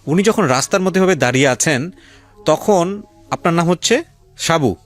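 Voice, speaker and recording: A man's voice: on the high side, lively intonation, normal speed. A Bangladeshi man hosting a radio show, interviewing guests with probing questions and narrating life stories. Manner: probing, asks repeated questions. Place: radio studio. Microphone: studio microphone. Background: music.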